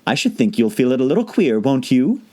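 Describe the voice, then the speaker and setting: A man's voice: medium pitch, big pitch swings, a little fast, mellow, voice pushed up. A man voicing a polite, earnest and timid young girl in an audiobook, explaining herself carefully and hesitantly. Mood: polite, puzzled. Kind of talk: audiobook character voice.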